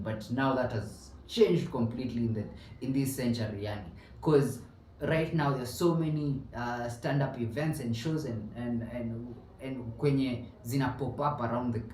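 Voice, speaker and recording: A man's voice: mid-range voice, mellow, recorded from a distance, a little hiss or hum. A young man with a Kenyan accent hosting a podcast in casual chat, switching between English and Swahili slang with many restarts. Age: young adult. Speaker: podcast host. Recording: roomy, off-mic with faint ambience.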